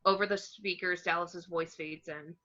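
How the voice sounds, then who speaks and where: A woman reading screenplay action descriptions as narration, in a plain, measured way. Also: lowish voice, steady tone, sharp tone. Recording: slightly dull home microphone.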